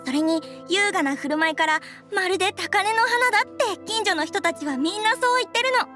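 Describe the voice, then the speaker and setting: A woman's voice: very high voice, fairly quick, pushed and bright. A woman playing a friendly restaurant owner in an anime game, speaking in a familiar, confiding way. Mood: chatty, confiding. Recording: music playing behind the voice.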